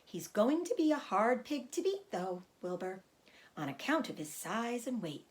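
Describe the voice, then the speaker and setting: A woman voicing a wise, gentle female spider who speaks politely, measured and a little dry. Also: fairly high pitch, very animated.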